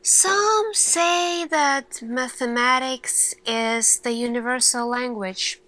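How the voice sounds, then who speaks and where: A woman playing an earnest lead scientist in an ASMR roleplay, speaking deliberately in short phrases with dramatic pauses. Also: sits high, bright, forceful tone, rough recording. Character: earnest female lead scientist addressing her team. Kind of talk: slow ASMR roleplay monologue.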